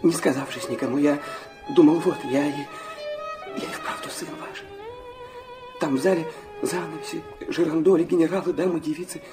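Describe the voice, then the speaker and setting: An actor playing an impassioned, wounded young illegitimate son in a Russian radio drama, speaking fervently and haltingly. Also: male voice, a little high, wildly swooping pitch. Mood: hesitant, tender. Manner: fervent, halting, breaking into repetitions. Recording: orchestral violin music behind the voice.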